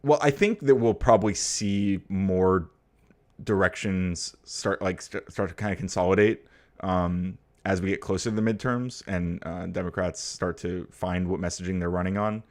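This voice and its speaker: A man's voice: sits low, moderate pace. A young American man hosting a livestream, chatting casually about politics and answering viewer comments, rambling with lots of fillers and getting blunt and profane. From United States. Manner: rambling and hesitant, full of fillers and restarts; blunt and profane when riled. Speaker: livestream host.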